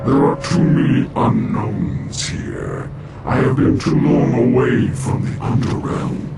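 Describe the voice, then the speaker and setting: An actor playing a scheming aristocratic villain in a fantasy game, gravelly, measured and haughty, with dry formal diction. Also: male voice, medium pitch, expressive, over loud music. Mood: brooding, calculating. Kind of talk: theatrical fantasy game cutscene acting. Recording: very noisy game cutscene audio.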